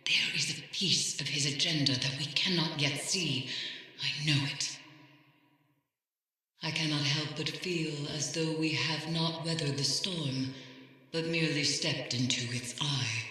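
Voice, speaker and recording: A woman's voice: low voice, sharp tone, very echoey, big-room sound, heavily acted. An actress voicing a grave, foreboding mystic woman who advises a warrior in a video game, speaking solemnly and formally. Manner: solemn, deliberate, formal, ominous. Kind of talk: scripted video game dialogue.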